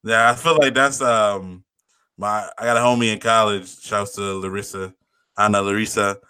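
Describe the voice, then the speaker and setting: A man's voice: on the low side, fairly even intonation, normal speed, pushed and bright, smooth, no echo, studio quality. A young man co-hosting a podcast, chatting in an easygoing, slangy, rambling way. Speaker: podcast co-host. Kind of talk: casual chat.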